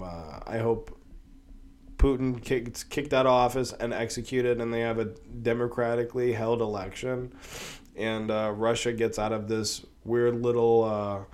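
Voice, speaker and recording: A man's voice: on the low side, darkish tone. A man, sick with a cold and sniffling, talking in a rambling, profane way with many fillers on his own podcast. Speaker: podcast host. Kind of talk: casual solo podcast commentary.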